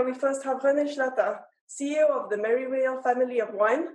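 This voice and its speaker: A young woman with a very high voice reads out a formal, prepared welcome as an event host. The sound is strongly roomy, like a laptop picking her up in a big empty hall. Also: a little mellow. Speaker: event host introducing a panel. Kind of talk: scripted formal introduction. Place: large empty event hall with rows of chairs.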